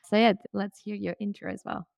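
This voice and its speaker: A woman's voice: medium pitch, level tone, normal speed, creaky, darkish tone, dry recording, clean studio sound. A woman hosting a podcast interview, speaking in an upbeat, welcoming way. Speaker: podcast host.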